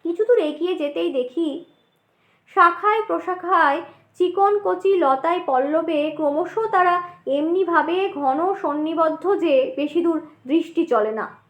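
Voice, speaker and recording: Woman, audio story narrator, high voice, expressive scripted reading, echoey sound. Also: a little slow. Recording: roomy echo on the voice.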